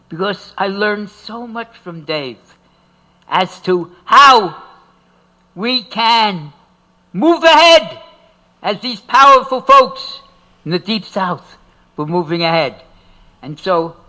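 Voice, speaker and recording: An older man speaks in a high, bright, forceful voice. He delivers a rousing activist speech, stretching out key phrases and repeating them in rising waves like call-and-response. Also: low-quality recording. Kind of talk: impassioned rally speech.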